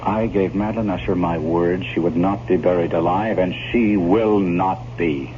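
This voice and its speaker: A male actor plays a stubborn, anguished gentleman in a gothic horror radio play. The voice is deep and formal, with dramatic gravity. The old broadcast sounds dull and noisy. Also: normal speed, lightly acted. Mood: anguished, insistent. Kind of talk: 1970s radio drama acting and first-person narration.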